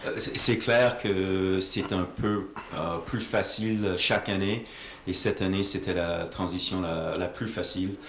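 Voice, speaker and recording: Male interpreter, very low and creaky, hesitant delivery, recorded in a roomy, echoing space. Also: fairly even intonation, a bit bright. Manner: halting, searching for words. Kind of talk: consecutive interpreting of interview answers. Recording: echoey room with faint noise.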